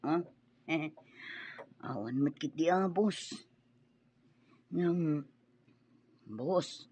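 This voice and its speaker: A man's voice: fairly high pitch, animated, extremely slow, a little mellow, slightly rough, heavily acted. A man playing a nervous, servile henchman in a radio drama, stammering and pleading timidly. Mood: timid, wheedling. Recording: dull, muffled radio drama audio.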